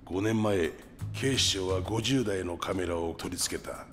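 An actor playing an imposing older underworld boss in a video game drama, commanding and rough in his deliberate speech. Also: low voice. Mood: proud, showing off. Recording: long cavernous reverb, music underneath.

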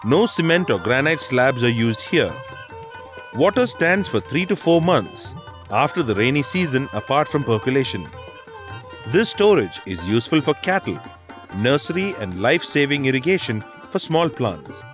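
A man's voice: medium pitch, very animated, moderate pace. A man reading scripted instructional narration for a documentary. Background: background music.

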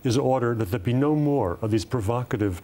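A man in his seventies giving political commentary as a panel guest, deliberate and formal with hesitant pauses. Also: mid-range voice, warm tone, slight background noise. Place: television studio round table.

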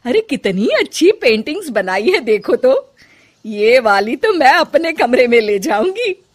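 A woman's voice: sits high, sing-song, unhurried, voice pushed up, exaggerated voice. A woman voicing an older mother-in-law who frets and then dotes. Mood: proud. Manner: fretful, then warmly proud.

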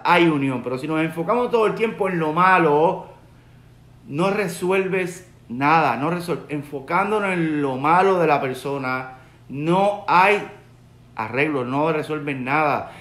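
A man's voice: fairly high pitch, a bit bright. A man in his forties from Puerto Rico with a Puerto Rican Spanish accent, giving an earnest Torah lesson to a webcam in his study, repeating his key points. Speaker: rabbi. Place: home study with bookshelves, indoors. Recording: roomy echo.

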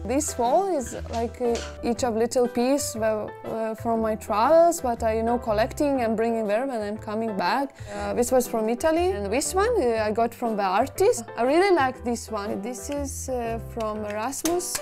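A woman's voice: on the high side, animated, normal speed, few pauses, darkish tone, faint music behind. A young woman from Lithuania talking hesitantly about her film and her home, searching for the words. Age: young adult. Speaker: filmmaker. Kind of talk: casual interview. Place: small decorated bedroom.

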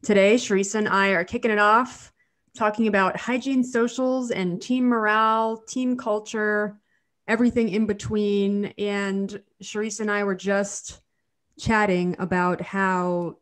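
A woman's voice: medium pitch, little pitch movement, a little slow. A woman in her thirties co-hosting a podcast from home, a little nasal from a cold, chatty with frequent restarts. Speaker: podcast co-host, dental hygienist. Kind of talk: casual podcast chat. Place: home living room. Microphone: studio mic on video call.

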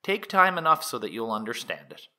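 A man acts a Victorian-era prosecutor in an audio courtroom drama. His voice is crisp-edged and bright, with animated intonation. He puts each question formally and methodically, courteous but probing. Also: medium pitch, fairly quick. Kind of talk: dramatic reading of an 1890s trial transcript.

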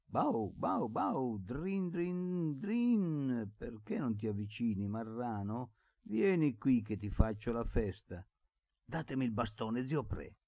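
A man's voice: fairly low pitch, lively intonation, unhurried, dark tone, no echo, clean studio sound. A man voicing a mischievous young servant boy in a storybook, taunting and playful in a sing-song way. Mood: mocking, playful. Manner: taunting, sing-song, imitates bells and barking.

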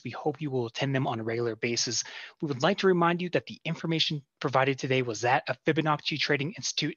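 A man closes a sponsored webinar with a formal, scripted disclaimer. He speaks quickly with a bright, forceful tone. The audio is dry and a bit dull, as on a lo-fi line. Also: medium pitch, dry recording. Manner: brisk, formal. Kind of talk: scripted closing announcement.

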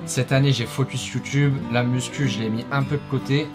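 A man's voice: mid-range voice, a little fast. A young man hosting a fitness video, chatting casually with lots of fillers in a small home studio. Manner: energetic, rambling, many fillers. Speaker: fitness YouTuber host. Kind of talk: casual commentary over workout footage. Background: guitar music.

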